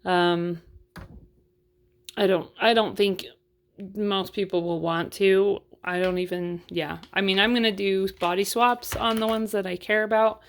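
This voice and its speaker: A woman's voice: medium pitch, fairly even intonation, a little slow. A woman chatting casually through a doll unboxing review, frank and full of fillers, while losing her voice.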